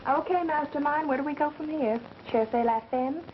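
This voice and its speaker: A sharp-witted actress as a young-sounding woman fires off sarcastic retorts at a rapid pace, in the clipped manner of a 1930s mystery picture. Her voice sits fairly high, and the old soundtrack is muffled, with music behind it. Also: a little hiss or hum, natural voice. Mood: wry, challenging. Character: quick-witted, sarcastic woman suspected of murder. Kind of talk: 1930s Hollywood mystery film acting.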